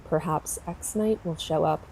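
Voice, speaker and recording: A woman's voice: low voice, a little slow, a little mellow, a little hiss or hum, low-quality recording. A woman playing a tough, wry homicide detective in an audio drama, dry and no-nonsense. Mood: briefing, tense.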